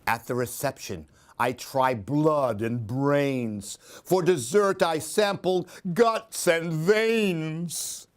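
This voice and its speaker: A man reading a children's story aloud in an expressive, theatrical, singsong way. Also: fairly high pitch, very slow, few pauses, a little hiss or hum. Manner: theatrical, singsong rhyming delivery.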